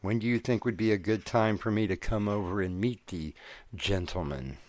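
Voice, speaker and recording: A man's voice: on the low side, normal speed, darkish tone, natural voice. An actor playing a curious young man in love, asking probing, impatient questions. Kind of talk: audio drama acting.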